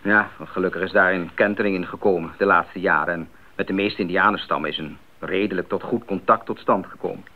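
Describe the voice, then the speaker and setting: An actor playing a determined, curious young male missionary in a 1980s radio drama, with earnest, clear phrasing. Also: sits low, fairly even intonation, a little fast, hardly any pauses, close to an everyday voice. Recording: narrow-band 1980s radio recording, faint noise.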